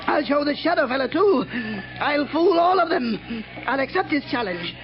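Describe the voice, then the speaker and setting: High-voiced, crazed madman. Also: animated, normal speed, faint music behind. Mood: brooding, gloating. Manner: agitated, muttering, repetitive. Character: crazed male crowd-hating bomber, fussy, gloating, paranoid. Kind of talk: 1930s radio acting. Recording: muffled 1930s radio drama recording.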